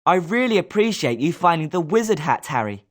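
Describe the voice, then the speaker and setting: A man's voice: a little high, very animated, brisk. A man playing a British schoolboy wizard in a video game, speaking scripted lines expressively. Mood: friendly, polite. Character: schoolboy wizard student, polite but sometimes sneering.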